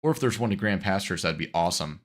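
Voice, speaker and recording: A man's voice: low-pitched, animated, fast talker. A man with a congested voice from a cold, rambling easily through casual commentary while streaming a driving game. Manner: rambling, self-correcting, easygoing.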